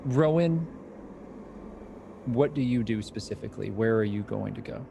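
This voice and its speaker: A man acting as game master, narrating a tabletop horror game in a measured, descriptive way and asking players what they do. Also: lowish voice, expressive, creaky, background noise. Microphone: streaming mic.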